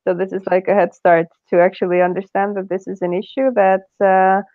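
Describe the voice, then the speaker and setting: A woman's voice: mid-range voice, little pitch movement, fairly quick, no echo. Woman on a tinny video call. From Estonia. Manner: hesitant, many fillers and restarts. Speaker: panelist in an online meetup. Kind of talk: casual discussion. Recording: dry, phone-like video-call audio.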